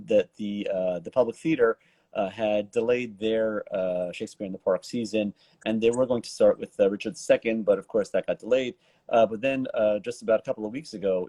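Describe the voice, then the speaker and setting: A man with a fairly low, soft-edged mellow voice works as an interviewer. He talks casually and haltingly, circling around his questions with many words. The sound is close and dry. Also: no echo. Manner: halting, wordy, roundabout questions. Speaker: talk show host, interviewer.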